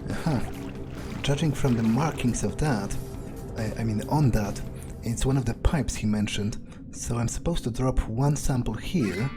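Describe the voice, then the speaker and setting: A man voices an irritable, foul-mouthed male vampire in a video game. He muses aloud in a hesitant, mid-pitched voice, commenting on his surroundings in character. Music plays clearly behind him. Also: normal speed, lightly acted. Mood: musing, wary. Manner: hesitant, musing aloud, swearing. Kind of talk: in-character roleplay commentary over gameplay.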